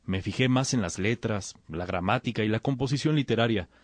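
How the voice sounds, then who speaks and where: A man playing an earnest, bookish young disciple in a radio drama, with a husky voice and deferential manner. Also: on the low side, a little fast, no room sound, natural voice.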